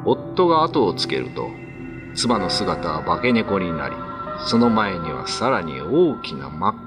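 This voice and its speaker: A man narrates a scripted ghost tale in dramatic style. His voice is fairly low with lively intonation, set over clear background music. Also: a little slow.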